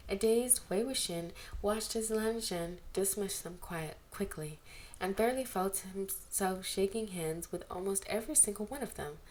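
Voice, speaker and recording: A woman narrating fan fiction aloud in an expressive, amateur storytelling style. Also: medium pitch. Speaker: amateur podfic reader. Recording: amateur home recording, background noise.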